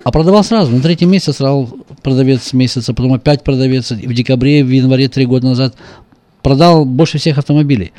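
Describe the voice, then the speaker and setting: A man's voice: medium pitch, mellow. A middle-aged man, a car salesman guest on a radio show, chatting casually and telling boastful, joking stories. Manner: boastful, joking, rapid storytelling.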